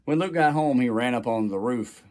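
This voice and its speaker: A man with a medium-pitched voice reads a children's storybook aloud. His delivery is expressive and animated, shaped for young listeners, and he acts out the dialogue as he goes. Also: normal speed. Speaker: guest reader of a children's book.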